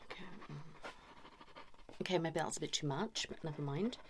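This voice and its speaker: A British woman doing a whispered ASMR role-play as a chatty, cheeky couples' therapist, breathy and soft. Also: low-pitched, moderate pace, noisy background. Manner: chatty, cheeky, improvised asides to imagined clients.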